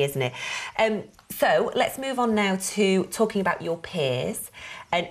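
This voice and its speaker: A woman in her forties hosting a travel TV show, chatty and bright as she interviews a guest. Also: lowish voice. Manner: chatty, bright presenter delivery. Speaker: TV show host. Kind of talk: presenting and interviewing. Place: small TV studio set.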